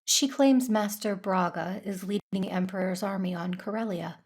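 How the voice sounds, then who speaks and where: A woman's voice: mid-range voice, moderate pace, breathy edge. A woman playing a troubled, dutiful Jedi who narrates an audio drama in a reflective, earnest way.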